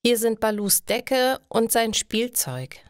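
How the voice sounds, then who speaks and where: A woman's voice: a little high, sing-song, slow, dry recording, studio quality, pitched above the performer's own voice. A woman voicing a trusting, caring dog owner in a story, friendly and earnest. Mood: confident, caring.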